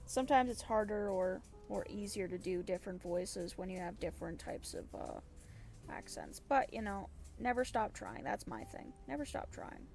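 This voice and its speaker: A woman's voice: mid-range voice, sing-song, normal speed, creaky, darkish tone, soft background music. An American woman streaming and talking casually about voice acting, rambling and playful, often correcting herself. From United States. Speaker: streamer and voice actor. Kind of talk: casual livestream chat.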